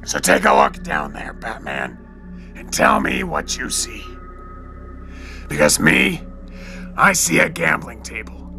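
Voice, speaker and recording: A theatrical, menacing crime boss in an audio drama. The voice is very forceful and gravelly, drawing words out slowly and taunting as it goes. Music plays faintly behind. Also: male voice, rumbling low, huge pitch swings, exaggerated voice. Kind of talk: comic book audio drama acting.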